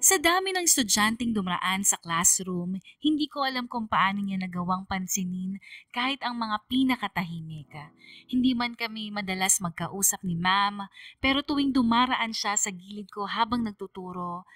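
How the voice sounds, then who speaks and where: A woman voicing a quiet, grateful senior high schoolgirl who tells her story on radio, earnest and reflective, with a Filipino accent. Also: normal speed, dry recording, studio quality, far from the mic, lightly acted. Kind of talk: dramatized first-person radio storytelling. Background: music.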